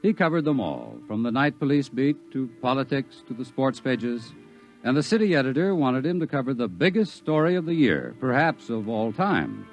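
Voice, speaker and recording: A man's voice: mid-range voice, sing-song, low-quality recording. Dramatic old-time radio narrator, man. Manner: measured, dramatic storytelling. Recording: old radio recording with faint music.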